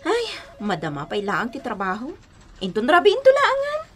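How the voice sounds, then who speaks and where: A woman's voice: on the high side, huge pitch swings, normal speed, fairly bright tone, slightly rough, exaggerated voice. An actress with a Filipino accent playing a bashful, smitten young woman in a radio drama. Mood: curious. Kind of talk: Ilocano radio drama acting.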